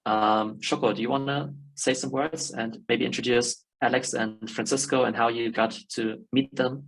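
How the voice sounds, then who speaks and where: A man's voice: mid-range voice, fairly even intonation, moderate pace, creaky, slight background noise, rough recording. A man hosting an interview on a call, friendly and using fillers. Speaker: interview host.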